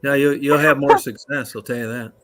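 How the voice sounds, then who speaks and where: A man's voice: medium pitch, steady tone, brisk, fairly bright tone, slight background noise, low-quality recording. A man hosting a casual talk show, rambling with fillers and crude jokes. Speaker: show host.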